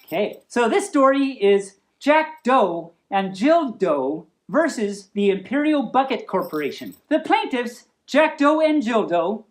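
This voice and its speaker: A woman's voice: fairly high pitch, sing-song, unhurried, few pauses. A woman hosting a storytime, reading aloud in a playful manner with casual asides. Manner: playful, chuckling asides between formal reading. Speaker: storytime host.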